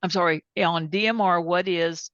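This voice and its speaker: A plainspoken woman presenting an informal software demonstration and taking questions, candid about what she does and doesn't know. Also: lowish voice, fairly even intonation, moderate pace, dry recording. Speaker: presenter and tech support. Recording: dull, band-limited sound.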